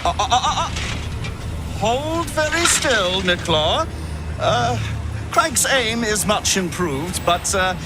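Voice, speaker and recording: Pompous fussy fantasy game showman, high swoopy voice, slow, noisy background. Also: animated. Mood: fussy, excited. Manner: grandiose, drawn-out delivery.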